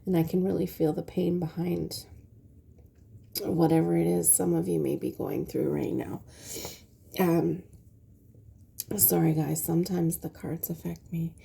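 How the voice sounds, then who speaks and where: A woman with a stuffy nose reads tarot cards in a slow, unhurried way. Her voice is heavily gravelly and dark. She hesitates often and fills pauses softly. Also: sits low, fairly even intonation. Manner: soft, hesitant, many ums. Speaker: tarot reader. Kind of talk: casual tarot reading.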